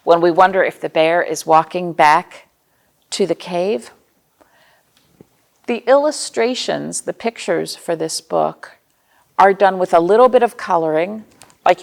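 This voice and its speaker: A woman's voice: fairly low pitch, vocal fry. A woman hosting a storytime for young children as a librarian, speaking expressively and putting questions to her young listeners.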